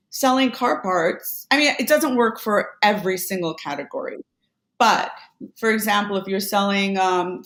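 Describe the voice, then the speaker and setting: A woman guest on a business podcast, talking casually about selling online, with quick asides and self-corrections. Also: mid-range voice, fairly bright tone, reverberant. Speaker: podcast guest, Amazon seller. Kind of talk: casual conversational interview.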